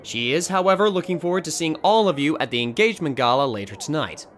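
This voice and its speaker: A man's voice: fairly high pitch, expressive, fast. A man playing an earnest, dutiful pony captain of the royal guard in a radio drama, formal and pleading in manner. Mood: gently authoritative. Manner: formal, pleading, gentle with children. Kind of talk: fan radio drama voice acting.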